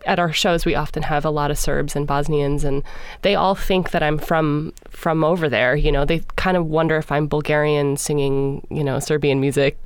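Woman, low voice, smooth and clear, hesitant, reflective, dry sound. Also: no room sound. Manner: thoughtful, searching for words with many fillers. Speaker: singer. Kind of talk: interview conversation.

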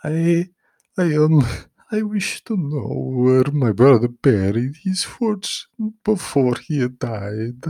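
A man's voice: medium pitch, sing-song, slow pace, gravelly, dark tone, no room sound, pitched above the performer's own voice, exaggerated voice. A man voicing a terrified man who stammers and stumbles over his words. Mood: frightened. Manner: stammering, breathless.

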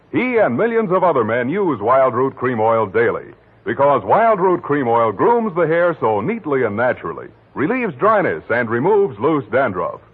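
A male radio announcer reading an old-time sponsor commercial in a showy salesman style. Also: slightly rough. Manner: booming, showy salesman delivery. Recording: tinny old radio broadcast.

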